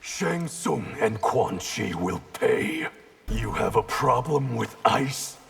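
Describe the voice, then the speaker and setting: An actor playing a proud, cold, disdainful ninja in a video game, stern and sardonic with a gravelly voice. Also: male voice, mid-range voice, expressive, slow, fairly bright tone, heavily acted. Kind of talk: video game fight banter.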